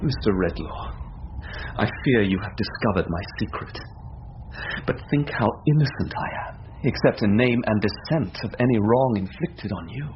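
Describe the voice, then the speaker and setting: An actor playing an earnest, proud young student in an old-time radio drama, speaking formally, on a dull, hissy recording. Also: male voice, on the low side, animated, normal speed, close to an everyday voice. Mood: earnest, pleading.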